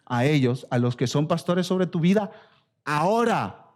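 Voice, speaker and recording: Latin American pastor preaching, higher-pitched voice. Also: male voice, lively intonation, unhurried, a little mellow. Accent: Latin American Spanish. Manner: emphatic, repeats phrases, asks rhetorical questions. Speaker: pastor preaching to his church. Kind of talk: expository sermon with direct exhortation.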